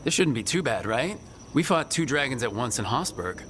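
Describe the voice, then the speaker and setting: An actor playing an easygoing, self-deprecating young fantasy hero with a casual, lightly joking manner. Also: male voice, medium pitch, big pitch swings, normal speed, a bit bright, a little hiss or hum. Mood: light, game. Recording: music behind the voice.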